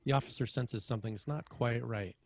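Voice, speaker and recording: A man reading screenplay action as narrator, in clipped, dramatic, measured phrases. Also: mid-range voice, gravelly, darkish tone, no echo. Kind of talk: scripted screenplay narration, present tense.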